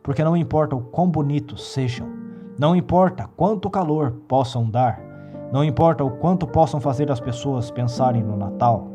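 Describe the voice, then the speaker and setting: A man narrating a horror story in a measured, dramatic reading. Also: mid-range voice, dark tone. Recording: faint music behind the voice.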